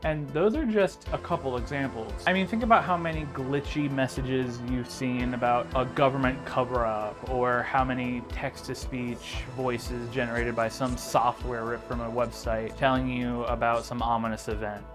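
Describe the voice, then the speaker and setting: A young man narrates a video essay in a medium-pitched voice over background music. His delivery is informal and conversational. He slips sardonic, joking asides into the scripted commentary. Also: fairly even intonation, normal speed, hardly any pauses.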